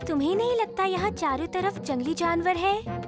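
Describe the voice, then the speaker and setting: Small cartoon animal girl, very high pitch, inquisitive, cautious, childlike, music underneath. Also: lively intonation, normal speed. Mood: nervous. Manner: childlike, questioning. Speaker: voice actor.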